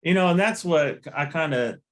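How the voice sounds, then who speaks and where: A man's voice: fairly high pitch, normal speed, a bit bright. A man hosting a podcast, chatting casually about music in a laid-back, rambling, slangy way. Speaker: podcast host.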